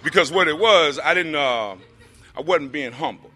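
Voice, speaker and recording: A man with a deep voice telling his personal recovery story to a group, rambling with lots of fillers. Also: moderate pace, pushed and bright, breathy edge. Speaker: speaker sharing a recovery story.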